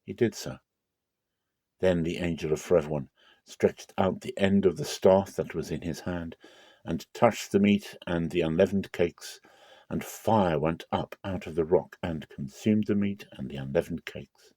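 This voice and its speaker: A man's voice: deep voice, measured pace, warm tone, dry recording. A man narrating scripture as a dramatic reading, with solemn, theatrical storytelling.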